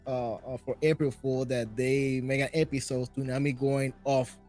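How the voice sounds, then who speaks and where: A man hosting a casual panel chat in English, telling stories in an animated way. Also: mid-range voice, moderate pace, soft background music. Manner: non-native English phrasing, animated storytelling. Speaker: show host.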